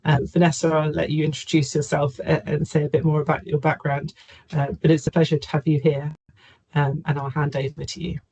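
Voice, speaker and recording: Husky, creaky woman hosting a webinar. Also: low-pitched, little pitch movement, brisk, mellow, poor audio. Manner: friendly, hesitant with ums. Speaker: webinar host. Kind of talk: introducing a speaker. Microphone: video call.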